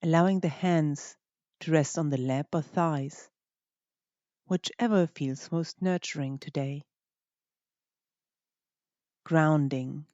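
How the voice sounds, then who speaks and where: Mellow, unhurried meditation guide. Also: mid-range voice, no echo, highs cut off. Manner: gentle, unhurried, phrases broken by pauses. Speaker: meditation teacher.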